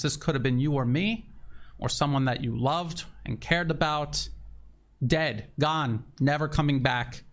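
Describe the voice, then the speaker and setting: Man, raised register, emphatic political commentary with pointed repetition and an indignant edge. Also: a little high. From United States. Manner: emphatic, indignant, repeats phrases for stress.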